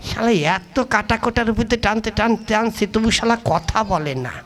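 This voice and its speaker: Man acting a high-voiced, grumbling puppet buddy. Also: normal speed, a bit bright. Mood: irritated. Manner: exclamatory, colloquial grumbling. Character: stubborn grumbling cheat of a friend, male puppet. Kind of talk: Bengali comic puppet play.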